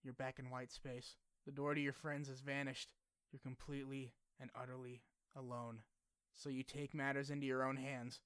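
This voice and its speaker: A man's voice: mid-range voice, level tone, moderate pace, a bit bright, smooth, no echo, clean studio sound. A man hosting a video essay, mixing scripted narration with casual, joking asides and some swearing. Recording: music behind the voice. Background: background music.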